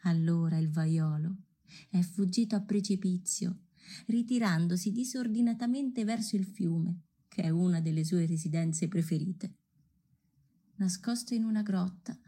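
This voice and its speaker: An actress playing a solemn storyteller of a folk legend, narrating a scripted radio play in a measured, literary way. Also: female voice, lowish voice, warm tone, breathy edge. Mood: grave, storytelling.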